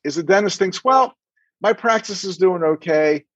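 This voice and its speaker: Middle aged guy podcast guest, highish voice that swings around a lot, emphatic, acts out little conversations, bit lofi. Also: animated, normal speed, dry recording, lo-fi sound. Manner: emphatic, acts out imagined dialogue. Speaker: podcast guest, dentist and practice coach. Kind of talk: animated conversational advice with anecdotes.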